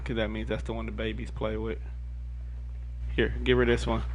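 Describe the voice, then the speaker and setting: A man's voice: fairly low pitch, monotone, moderate pace, highs cut off, a little hiss or hum. A man chatting casually and giving slangy, laid-back instructions during a video game. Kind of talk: casual chat while coaching a video game. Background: music.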